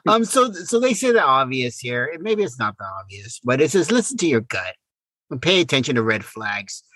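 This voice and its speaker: A man's voice: mid-range voice, big pitch swings, moderate pace, bright, forceful tone, no room sound. A man co-hosting a podcast in casual banter, playful and joking. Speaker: podcast co-host.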